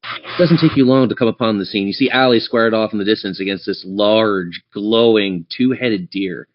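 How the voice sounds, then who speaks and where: A man's voice: on the low side, moderate pace, muffled recording. A man running a tabletop game as game master, narrating scenes descriptively and speaking straight to his players. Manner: descriptive, addresses players directly.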